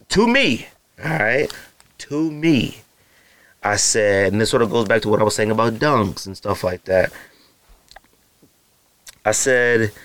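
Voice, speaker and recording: A man in his thirties with a naturally deep voice, hosting a podcast in loose, slangy casual chat full of fillers and asides to his listeners. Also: a bit bright, no echo. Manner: feels hot and uncomfortable while recording. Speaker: podcast host. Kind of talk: casual solo podcast rambling.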